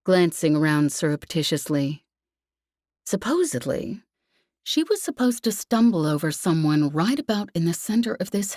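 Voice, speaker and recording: A woman's voice: deep voice, darkish tone, dry recording, studio quality. A woman reading fiction as a scripted audiobook narration.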